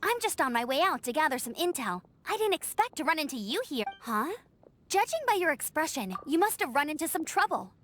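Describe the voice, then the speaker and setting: A woman's voice: high voice, sing-song, brisk, fairly bright tone, faint music behind. A woman playing a friendly, upbeat teenage knight scout in a video game, speaking in a bubbly, encouraging way. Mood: cheerful. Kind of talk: scripted video game character acting.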